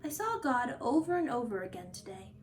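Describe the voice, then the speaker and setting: A woman reads a picture book aloud for children. Her voice sits high and moves in very lively melodic swings. She phrases each line expressively and deliberately, as a storytime host does. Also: a little hiss or hum.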